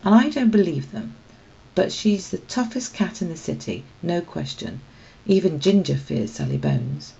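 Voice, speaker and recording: A tough female street cat from a children's storybook, acted out by a woman reader. She is blunt and mocking, with a creaky edge to the voice, heard on a slightly muffled recording. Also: fairly low pitch, sing-song, moderate pace, dark tone. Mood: dismissive. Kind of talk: children's storybook read-aloud.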